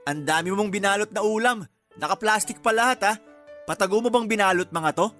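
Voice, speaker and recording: A man's voice: sits high, fairly quick, a bit bright, soft background music. Needling, stubborn young man, Gino. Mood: teasing. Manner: needling, insistent. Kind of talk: Filipino radio romance drama acting.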